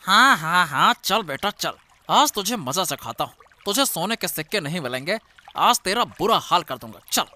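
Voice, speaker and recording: A man's voice: sits high, sing-song, normal speed, sharp tone, exaggerated voice. A man voicing a scheming, two-faced royal minister in a cartoon story, repeating his words with slippery politeness. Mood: sly menace. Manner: falsely agreeable, repeating words, sly. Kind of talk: animated Hindi cartoon story acting. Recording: music under the voice.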